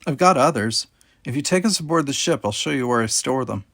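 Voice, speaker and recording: A man plays a composed teenage alien girl in a sci-fi audiobook. She speaks boldly and persuasively, in measured phrases. The recording is dry and close. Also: medium pitch, animated, vocal fry, a bit bright, no room sound, voice pushed up. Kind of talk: audiobook character voice.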